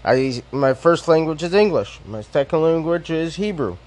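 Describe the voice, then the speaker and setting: A man making a halting remark at a city council meeting. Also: a little high, lively intonation, very noisy. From United States. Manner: halting, slow.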